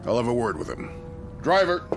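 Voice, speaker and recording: Radio play: an indignant old colonel, commanding and brusque, animated voice. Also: male voice, mid-range voice, normal speed, noisy background.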